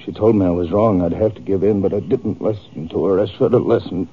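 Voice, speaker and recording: Actor as wounded, bitter farmer, low muffled voice. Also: male voice, steady tone, slight background noise, natural voice. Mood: weary, sorrowful. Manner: rustic, halting, weary speech. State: injured, strained. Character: wounded, bitter, grieving male homestead farmer from Texas. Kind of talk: 1950s radio western acting. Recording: narrow, muffled old-time radio recording.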